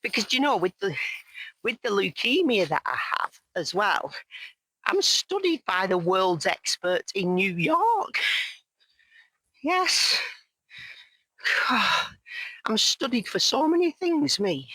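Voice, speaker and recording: A woman vlogging as she hikes down a mountain, chatting in a rambling, jokey, self-mocking way, her voice hoarse and croaky. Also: mid-range voice, big pitch swings, takes it slowly, long pauses, sharp tone, dry recording, rough recording. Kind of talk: casual chat to camera while hiking. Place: outdoors on a mountain path.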